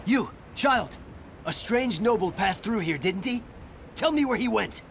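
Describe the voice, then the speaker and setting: A man's voice: high voice, lively intonation, unhurried, gravelly, a bit bright, slight background noise, exaggerated voice. An actor playing a menacing, commanding captain in a video game, imperious and threatening as he hunts a noble. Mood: urgent, commanding. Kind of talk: dramatic video game villain acting. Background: music.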